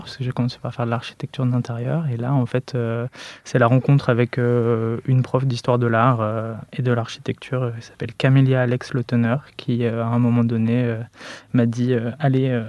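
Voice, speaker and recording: A man's voice: mid-range voice, a little mellow, no room sound. A man from France, an architect, recounting his career in an interview with many hesitations. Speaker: architect, office co-founder.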